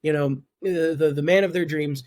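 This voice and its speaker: A young man in his twenties with a high voice chats as a podcast guest. He often stumbles and repeats words before getting a thought out. His voice is recorded dry and close. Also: fairly even intonation, normal speed, darkish tone, dry recording. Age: 20s. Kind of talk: casual podcast chat.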